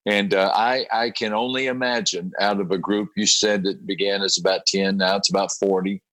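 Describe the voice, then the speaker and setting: Raspy low-voiced pastor, halting. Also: male voice, fairly even intonation, a bit bright, no room sound, poor audio. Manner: halting, repeats words and clears throat often. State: raspy voice after a late night. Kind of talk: conversational interview about a prayer group.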